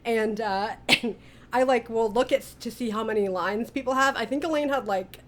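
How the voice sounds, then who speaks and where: A woman's voice: a little high, normal speed, a bit bright. A woman hosting a casual interview, chatty and giggly as she talks as a writer. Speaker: writer, director and interview host. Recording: faint background noise.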